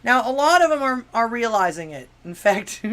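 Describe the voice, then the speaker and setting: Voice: mid-range voice, sing-song, normal speed, fairly bright tone. Sarcastic talk-show host from Miami. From Miami, Florida, USA. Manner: sarcastic, frequent rhetorical questions, ranting; stumbling restarts and many fillers. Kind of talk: political commentary, reading news and chat messages aloud.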